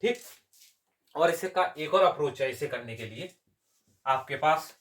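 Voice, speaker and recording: A man's voice: fairly high pitch, expressive, unhurried, a bit bright. A man teaching step by step as an exam maths coach, asking questions and answering them himself. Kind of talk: step-by-step lesson.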